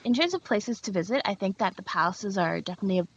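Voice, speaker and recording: A woman's voice: medium pitch, expressive, quick pace, husky. A young woman from Hong Kong and Canada, answering conversationally as a guest in a travel interview. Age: young adult. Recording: dull, noisy remote call.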